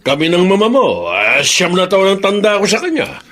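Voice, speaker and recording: A middle-aged Filipino father in a radio drama. His voice is fairly high and very animated, jovial, curious and encouraging. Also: moderate pace. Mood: probing, encouraging.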